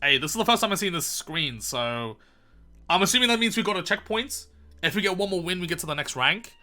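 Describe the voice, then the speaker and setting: A young man hosting a gaming video in a casual, chatty way, his voice slightly croaky and strained, and he bursts into loud excited shouts. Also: high voice, fairly quick. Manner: chatty, calls viewers boys, erupts into loud excited shouts.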